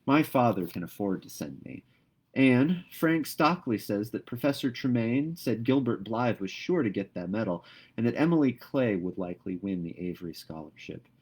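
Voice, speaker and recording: A man's voice: lowish voice, animated, moderate pace, darkish tone, husky, pitched above the performer's own voice. Man putting on a smug, haughty teenage girl. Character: smug, careless, snobbish teenage girl student.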